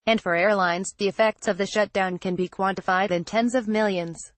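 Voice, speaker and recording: A woman's voice: mid-range voice, fairly even intonation, moderate pace, no echo. A woman reading scripted news narration in a formal, word-for-word manner.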